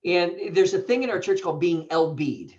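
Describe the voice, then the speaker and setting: A man's voice: high-pitched, lively intonation, moderate pace, darkish tone. An American man, a pastor appearing as a podcast guest, talking casually in an effusive, playful, informal way. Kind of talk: casual conversational interview. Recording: dull, slightly lo-fi audio.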